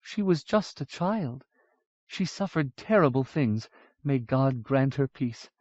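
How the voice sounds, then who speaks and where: A man's voice: mid-range voice, lively intonation, slow, darkish tone, no echo. A man voicing a stern, worried older noblewoman who speaks in a cross, fretful way. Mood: grieving. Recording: dull lo-fi audiobook recording.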